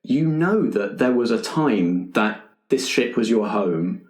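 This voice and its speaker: A man's voice: lowish voice, lively intonation, slow, darkish tone. A man narrating a tabletop roleplaying game as game master, explaining possibilities in a tentative, exploratory way. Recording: echoey room.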